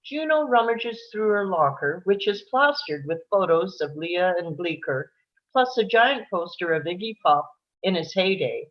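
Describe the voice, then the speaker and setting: A woman's voice: lowish voice, expressive, unhurried, lo-fi sound. A woman reading stage directions as the narrator of a live screenplay table read.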